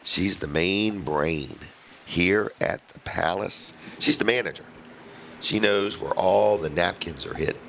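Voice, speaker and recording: A man hosting a live local radio show with a raspy voice, chatting in an easygoing, joking way. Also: low-pitched, unhurried, fairly bright tone, slight background noise. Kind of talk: casual live radio chat. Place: restaurant set for live broadcast.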